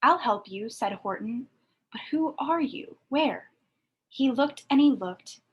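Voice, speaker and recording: A woman reads a rhyming children's picture book aloud in an expressive storytelling style. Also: high-pitched, slow pace, husky, lo-fi sound.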